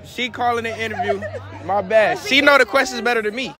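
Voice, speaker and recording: A man's voice: extremely high pitch, a little fast. A young man doing casual, slangy street interviews outdoors, often echoing back the answers he gets. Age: young adult. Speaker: street interviewer on a college campus. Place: outdoors on a busy college campus. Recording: outdoor campus chatter.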